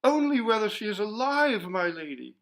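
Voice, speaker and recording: A man's voice: sits high, very animated, normal speed, fairly bright tone, voice pushed up, heavily acted. A man voicing a terrified, tearful young maidservant in a stammering wail, in a storybook reading.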